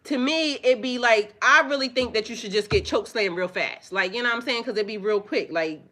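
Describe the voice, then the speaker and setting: A woman's voice: on the high side, brisk. A woman hosting a spiritual reading vlog, talking straight to her viewers in a blunt, profane, chatty way and laughing often. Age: adult with children. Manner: loud, animated delivery. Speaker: spiritual reader and channel host.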